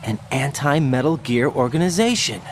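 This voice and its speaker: An actor playing an earnest young special agent in a video game, probing and idealistic. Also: male voice, medium pitch, animated, moderate pace, slight background noise, close to an everyday voice. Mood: troubled, earnest. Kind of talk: video game cutscene acting.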